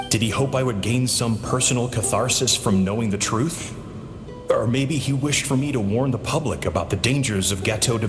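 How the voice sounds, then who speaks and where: Solemn game character voiced by a man, low-pitched, haunted by guilt, eloquent dramatic monologue over clear music. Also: natural voice. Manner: grave, measured, eloquent. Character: solemn, guilt-laden man reading his confession of a secret. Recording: music under the voice.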